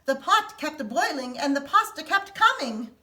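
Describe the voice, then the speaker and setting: A woman teacher reading a picture book aloud to children in an expressive storytelling manner. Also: sits high, moderate pace. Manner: expressive, sing-song storytelling.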